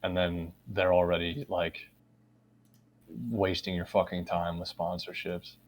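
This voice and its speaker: A man's voice: low voice, completely flat delivery, a little slow, slight background noise. A man co-hosting a podcast, teasing his partner bluntly with lots of swearing. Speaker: podcast co-host. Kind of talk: casual podcast banter.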